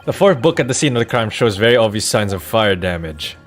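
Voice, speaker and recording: Animated man reading game dialogue dramatically. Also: lowish voice, brisk, soft background music. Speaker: video game streamer reading dialogue aloud. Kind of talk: dramatic voiced read-through of a courtroom game.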